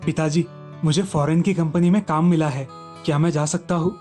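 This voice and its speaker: A studious young village son, sure of himself , acted by a male storyteller. The tone is mellow and a little high for a man, over story music. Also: fairly even intonation, moderate pace, lightly acted. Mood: reassuring. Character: studious, self-assured young son of a farmer. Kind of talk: children's story character voice.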